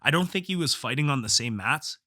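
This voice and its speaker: A man hosting a solo podcast as a coach, telling stories in blunt, profane, slangy talk, slightly hoarse from a cold. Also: medium pitch, lively intonation, fairly quick, pushed and bright, dry recording, studio quality. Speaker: podcast host, jiu-jitsu coach and gym owner. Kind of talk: casual solo podcast storytelling.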